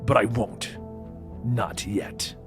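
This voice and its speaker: A man's voice: on the low side, very slow, soft background music, pitched below the performer's own voice. A man playing a stern, determined masked space superhero, speaking firmly and tersely in a comic book story. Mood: guarded, menacing.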